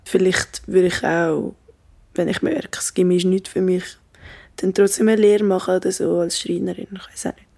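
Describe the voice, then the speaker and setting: A woman's voice: on the low side, little pitch movement, moderate pace, vocal fry, a little mellow. A sixteen-year-old girl with a Swiss German accent in casual interview talk. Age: 16, teenager.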